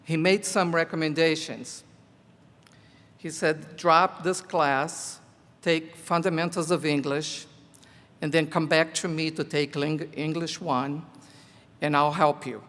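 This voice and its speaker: An older man whose first language is Portuguese, a teacher near the end of his career, giving a slow, earnest commencement speech. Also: a little high, fairly even intonation, a bit bright. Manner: slow, deliberate, earnest delivery. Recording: echoey hall.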